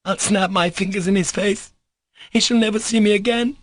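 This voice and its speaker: A man's voice: a little high, normal speed, no room sound, voice pushed up, natural voice. A man reading a classic novel aloud, voicing a passionate, volatile young woman with fierce, hysterical outbursts. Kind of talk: audiobook character reading.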